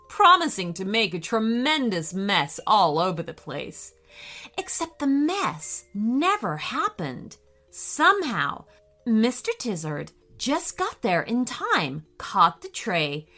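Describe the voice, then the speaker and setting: Very animated woman storyteller, slow pace. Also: a little high. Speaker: children's storyteller. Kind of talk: expressive scripted bedtime story narration.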